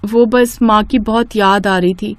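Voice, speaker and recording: A woman's voice: medium pitch, steady tone, unhurried, dry recording, natural voice. A woman voicing an overworked young housewife in a family cartoon, plaintive and complaining. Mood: plaintive, feigning.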